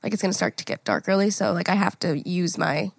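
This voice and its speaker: A young woman co-hosting a podcast, with a raspy morning voice, chatting casually, laughing often and swearing freely. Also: on the low side, expressive, quick pace, no echo, clean studio sound. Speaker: podcast co-host. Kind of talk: casual chat.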